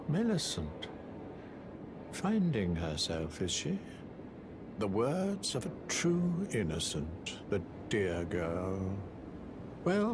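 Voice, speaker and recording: A man's voice: mid-range voice, noisy background. A man playing a fawning, scheming scholar in a video game, obsequious and theatrical, with hesitant politeness and sinister devotion. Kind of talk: video game character acting.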